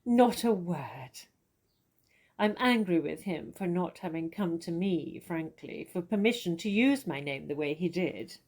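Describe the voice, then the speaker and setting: Famous stage actress played by a woman, witty and self-assured, gently mocking, mellow tone, theatrical comedy delivery. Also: on the low side, expressive, a little slow. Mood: advising, arch. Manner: arch, condescending, theatrical.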